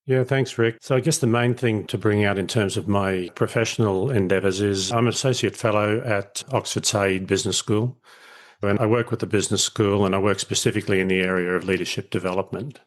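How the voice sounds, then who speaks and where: A middle-aged Australian man with an Australian accent, speaking as a guest over a call and explaining his work at length in a reflective, easygoing way. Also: on the low side. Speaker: guest, leadership educator. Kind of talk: casual interview over a call.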